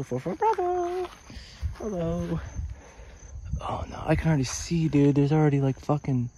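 A man casually vlogging as he explores old airplanes in a rural field, excited, swearing and talking to his viewers on a phone camera. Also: mid-range voice. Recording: phone audio outdoors with insect noise. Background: insects and crickets outdoors.

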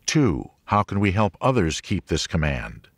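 Male narrator for religious audiobook: low register, measured and articulate reading, dry studio-like sound. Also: sing-song, no echo. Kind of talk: scripted audiobook narration of a religious teaching book.

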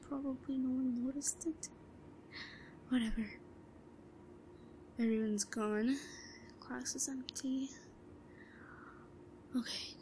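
A woman playing a shy, obsessive, secretly scheming schoolgirl in a roleplay audio drama, muttering to herself in a breathy voice that often drops to a whisper. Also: slight background noise. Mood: furtive, scheming. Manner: hushed muttering to herself, halting. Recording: low-quality recording with faint crowd murmur.

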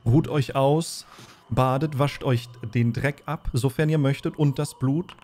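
A man's voice: mid-range voice, steady tone, measured pace, darkish tone. A man narrating and explaining an online tabletop game as game master.

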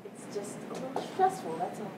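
A woman's voice: a little high, very animated, a little fast. A young woman playing a stressed student who is behind on her homework and answers curtly and defensively. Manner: defensive, curt, impatient. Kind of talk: amateur short film acting. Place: school library and hallways. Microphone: far camera. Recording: echoey, from far off, noisy.

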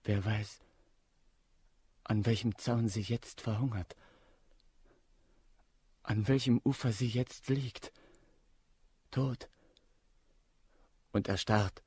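A wronged, grieving servant in a radio play. He broods very slowly in a husky voice, bitter and anguished, recorded dry and close. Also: fairly low pitch, steady tone, darkish tone, no echo, exaggerated voice. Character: trembling, wronged servant, grief-stricken and bitter. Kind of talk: German radio drama monologue.

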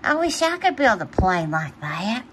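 A woman voicing an eager, excitable little boy bear in a children's storybook, full of childlike wonder. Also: medium pitch, unhurried, a little hiss or hum. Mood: awed, eager.

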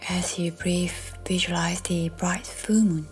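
A woman leads a guided meditation in a soft, breathy near-whisper. Her delivery is very slow and flat in pitch, soothing and scripted. Music plays behind her voice.

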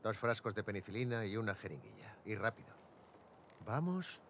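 A man playing a calm, middle-aged leader of survivors in a video game, measured and placating, with a Castilian Spanish accent. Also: lowish voice, a little hiss or hum. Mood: composed. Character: calm, conciliatory male leader of hungry survivors.

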